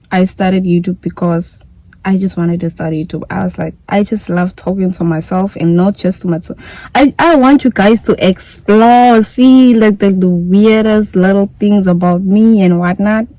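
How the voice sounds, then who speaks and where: A woman's voice: mid-range voice, warm tone, dry recording, low-quality recording. Chatty young Namibian woman vlogging. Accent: Namibian English. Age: young adult. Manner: chatty, repeats herself, giggly. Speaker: YouTuber. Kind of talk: casual vlog Q&A.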